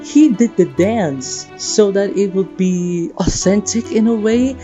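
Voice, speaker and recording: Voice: medium pitch, takes it slowly, dark tone, lo-fi sound. A young adult narrating a personal, sentimental video essay about a game in an earnest way. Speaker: video creator and host. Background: guitar music.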